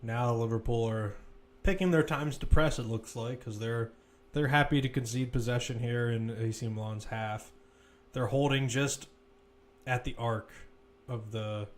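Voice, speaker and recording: A man giving live play-by-play football commentary as a commentator, measured but lifting when attacks build. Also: mid-range voice.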